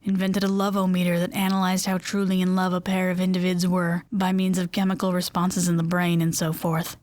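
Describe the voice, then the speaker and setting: A woman narrator with a fairly low voice, reading in a lively, wry first-person tone. Her voice is recorded close and dry. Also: a little fast, no echo. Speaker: story reader.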